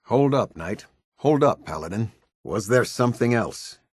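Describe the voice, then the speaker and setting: A man voicing a stern, disciplined military captain in a video game, with a husky voice and a clipped, commanding, formal delivery. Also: medium pitch, animated, unhurried, dry recording, studio quality. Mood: curt, formal. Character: stern male military captain, disciplined, exacting, loyal to his order.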